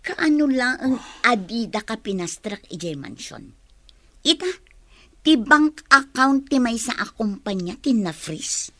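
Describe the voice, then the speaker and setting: An actress with a Filipino accent playing a sharp-tongued, questioning schemer in a radio drama. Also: female voice, a little high, lively intonation, measured pace, no echo, clean studio sound, heavily acted. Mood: exasperated.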